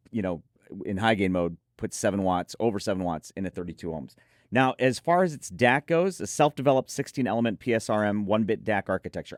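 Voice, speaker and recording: A man's voice: on the low side, no echo, studio quality. A man reviewing audio gear in a conversational, effusive and emphatic way. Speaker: product reviewer and presenter.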